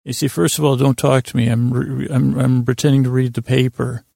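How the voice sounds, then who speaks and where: A man hosting a bedtime podcast, rambling in a halting, meandering way with a gravelly, creaky voice. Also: mid-range voice, little pitch movement, dark tone, no echo, studio quality. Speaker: podcast host. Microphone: close podcast microphone.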